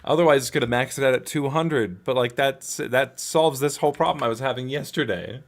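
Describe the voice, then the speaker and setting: A man's voice: mid-range voice, brisk, vocal fry. A man casually talking through a task step by step, in loose, piecemeal sentences. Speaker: animator streaming a work session. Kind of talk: casual explanation while working.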